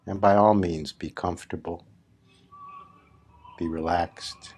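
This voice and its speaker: Male meditation guide: deep register, unhurried delivery, deliberate phrasing with long gaps. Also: steady tone, darkish tone. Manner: measured, deliberate, with long pauses between short phrases. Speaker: spiritual teacher leading a guided meditation. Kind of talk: slow guided meditation talk.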